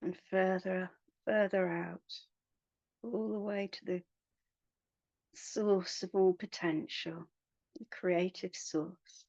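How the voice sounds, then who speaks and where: A woman guiding a meditation in a soothing, hushed manner, leaving long pauses between phrases. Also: lowish voice, steady tone, no echo, muffled recording. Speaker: meditation guide. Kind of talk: guided meditation.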